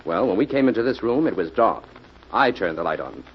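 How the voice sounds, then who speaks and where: A low-pitched, quick-talking male detective, played by a radio actor, sharp and methodical. He reasons briskly in vintage mystery-drama style. The recording is old, dull and noisy. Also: lively intonation, close to an everyday voice. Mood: assured, reasoning. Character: sharp, methodical male private investigator working beside police. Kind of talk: 1940s radio mystery acting.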